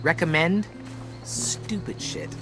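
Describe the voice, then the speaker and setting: A man's voice: a little high, wildly swooping pitch, slow, exaggerated voice. A man playing a wisecracking, dramatic teenage boy survivor in a video game, with an American accent and a playfully theatrical delivery. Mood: wistful. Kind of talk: video game voice acting, casual dialogue. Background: background music.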